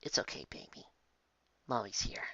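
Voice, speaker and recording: Hushed, tender pegasus mother acted by a narrator. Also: dry recording, whispered, muffled recording, close to an everyday voice. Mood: tender, reassuring. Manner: soft, hushed, slow. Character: tender, protective pegasus mother, softly reassuring. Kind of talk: acted storybook character voice.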